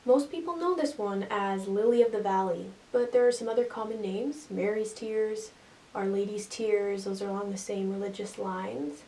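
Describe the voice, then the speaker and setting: A woman's voice: medium pitch, steady tone, normal speed, dark tone, distant mic, a little hiss or hum, rough recording. A woman hosting a gardening video, explaining a plant's care and habits in a chatty, playful way with asides to viewers. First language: not English; Swiss German is a second language.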